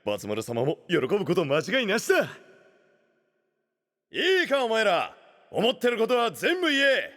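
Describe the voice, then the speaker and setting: An actor playing a brash, fiercely loyal young boss in an anime voice drama, with rough, theatrical speech. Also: male voice, high-pitched, bright, forceful tone, exaggerated voice. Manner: rough delinquent-style speech, theatrical swings.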